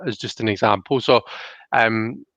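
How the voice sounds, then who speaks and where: A man's voice: fairly low pitch, steady tone, moderate pace, fairly bright tone, dry recording. A young adult man hosting a live stream in a casual, rambling way, thinking aloud as he chats and reads out comments. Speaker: host of a live card reading stream. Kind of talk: casual chat, reading out viewer comments.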